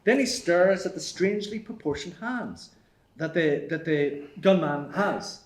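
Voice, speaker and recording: A man's voice: on the high side, animated, a little slow. A man reading stage directions as narrator at a live screenplay table read. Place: theatre stage. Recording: roomy live theatre stage.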